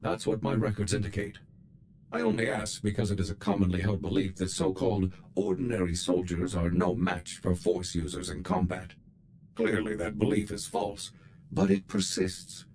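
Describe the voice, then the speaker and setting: A man's voice: low-pitched, moderate pace, darkish tone, a little hiss or hum, natural voice. A man voicing a polite, eager combat droid with formal, precise, literal-minded speech in a video game. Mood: polite, earnest. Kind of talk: scripted video game dialogue.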